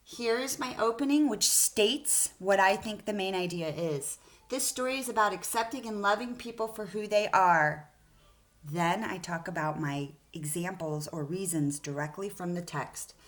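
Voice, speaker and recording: A woman's voice: medium pitch, normal speed, a bit bright. A woman speaking as an elementary school teacher, reading aloud and explaining a lesson to young children, addressing them directly with questions.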